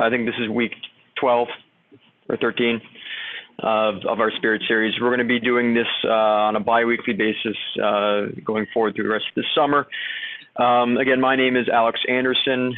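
A man in his thirties hosting an online company session from his office, speaking haltingly with many fillers. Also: lowish voice. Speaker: host. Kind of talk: meeting introduction. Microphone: video call. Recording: tinny phone-like call audio.

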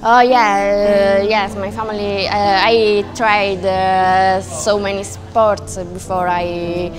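Casting: woman, 20s, Italian accent, halting English, little pitch movement, measured pace, interview style. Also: flat delivery. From Italy. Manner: halting, searching for English words. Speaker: dancer. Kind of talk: casual documentary interview. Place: dark indoor dance studio. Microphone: lapel mic. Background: instrumental music.

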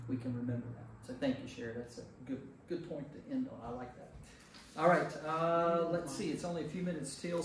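Echoey, higher-pitched American man leading group study. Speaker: pastor leading a Bible study. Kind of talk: informal group teaching and discussion. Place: echoey indoor group meeting room. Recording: echoey room, distant mic, faint noise.

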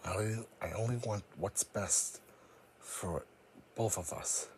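Man playing weary psychic creature for fan audio drama: low-pitched, grave, formal, noisy home recording. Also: slow pace, a little mellow. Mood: solemn, troubled. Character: solemn, weary, psychic cat-like creature, proud and wary.

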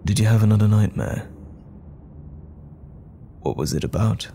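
Male actor as gentle affectionate boyfriend, audio roleplay, lowish creaky voice, comforting and worried, intimate bedside tone. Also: a little slow, slight background noise. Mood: gentle concern. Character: tender, worried boyfriend comforting a troubled partner at night.

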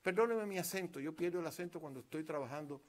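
A man's voice: fairly high pitch, fast. An older man with a Cuban accent closes his formal remarks at a panel table, speaking emphatically and earnestly into a stand microphone. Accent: Cuban Spanish. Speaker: presenter introducing a book. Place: conference room, seated at a panel table. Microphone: table stand mic.

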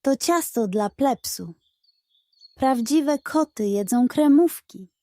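A lazy, snobbish storybook tomcat acted out by a woman, full of bored disdain. The voice is high and mellow, with dry sarcasm and a dismissive air. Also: expressive, slow pace, dry recording. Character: lazy, haughty, disdainful male grey cat.

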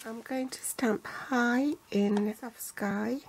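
An older woman calmly walking through a card-making tutorial step by step, reading out measurements in inches and centimeters. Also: fairly high pitch, slightly rough. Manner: calm, measured, reads out sizes in inches and centimeters.

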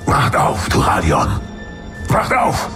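A man's voice: mid-range voice, huge pitch swings, takes it slowly, bright, forceful tone, soft background music, full character voice. An actor playing a grave male soldier in a fantasy audiobook. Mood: urgent. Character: grave male soldier bearing bad news.

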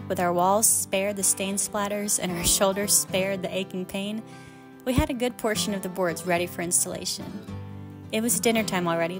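A woman narrating a home renovation vlog in a scripted voice-over, upbeat and storytelling. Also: mid-range voice. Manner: upbeat, storytelling, light self-deprecating humor. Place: voice-over, not recorded on site. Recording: music under the voice-over.

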